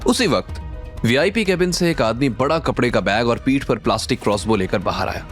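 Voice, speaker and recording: A man's voice: mid-range voice, lively intonation, fairly quick, soft background music. A man narrating a dramatic scripted audio series as its storyteller.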